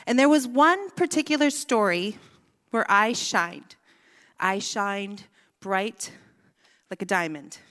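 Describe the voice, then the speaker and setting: A woman's voice: mid-range voice, lively intonation, slow pace, long pauses, fairly bright tone. A woman speaker telling a playful childhood story to a women's gathering before turning to scripture and prayer. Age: adult with a son. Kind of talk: sermon. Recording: echoey hall.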